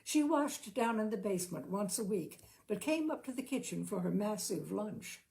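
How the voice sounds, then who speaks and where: A woman narrating a literary short story expressively, with measured, careful phrasing. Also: medium pitch, lively intonation, gravelly, a little mellow, poor audio. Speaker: audiobook reader, storyteller.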